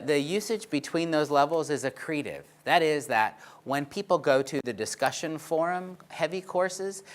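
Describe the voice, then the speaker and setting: A man's voice: a little high, a bit bright. A man giving a conference talk on research findings, explaining charts with frequent fillers and "right?" checks. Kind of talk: conference presentation.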